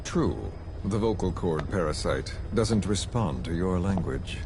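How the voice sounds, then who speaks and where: An actor playing a calm, scheming villain who speaks in a slow, measured and quietly threatening way. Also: male voice, low-pitched. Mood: menacing. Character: cold, menacing male villain, calm and scheming. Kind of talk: game cutscene dialogue. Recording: noisy game audio recording.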